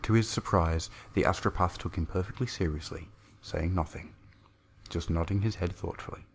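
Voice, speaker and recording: A man's voice: low voice, normal speed, slight background noise. A man reading a science-fiction horror story aloud as a narrator, in a grave, theatrical way.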